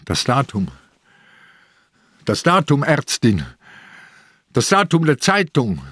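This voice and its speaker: An old police inspector in a radio crime play. He is exhausted yet dogged, with a slow, insistent delivery. The sound is dry and close, with no room on it. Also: medium pitch, very animated, fairly bright tone, slightly rough, dry recording, full character voice. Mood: desperate, shocked. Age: older. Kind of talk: German radio crime drama acting.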